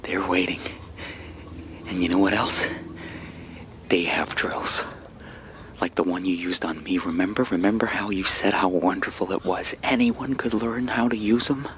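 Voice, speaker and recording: An actor playing a bitter young avenger in a radio drama, deliberate and menacing, often hushed. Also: male voice, deep voice, fairly even intonation, lightly acted. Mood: bitter, vengeful. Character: hardened young avenger, bitter, cold and merciless. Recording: faintly noisy radio drama recording.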